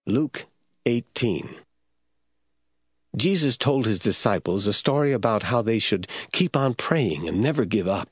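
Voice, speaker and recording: A man narrating scripture from a script in a measured, formal storytelling manner. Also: on the low side, animated, breathy edge, no room sound, clean studio sound.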